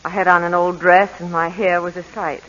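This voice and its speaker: Woman acting sweet nervous young wife, pleading and flustered, bright sharp voice, lo-fi 1940s radio drama. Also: fairly low pitch, flat delivery, a little fast, natural voice. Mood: rueful. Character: anxious, eager, sweet young wife longing for a baby. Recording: dull, lo-fi 1940s radio recording.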